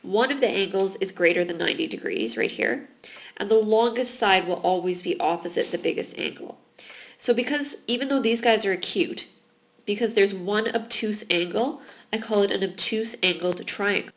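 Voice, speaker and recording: A woman's voice: medium pitch, animated, moderate pace, lo-fi sound. A woman teaching math in a recorded lesson, explaining patiently while losing her voice. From Alberta, Canada.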